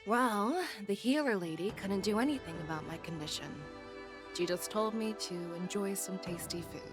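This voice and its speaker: A woman's voice: medium pitch, expressive, moderate pace, natural voice. A woman playing a bold, blunt and breezy female general in a video game. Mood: nonchalant. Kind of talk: game voice acting. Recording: game music behind.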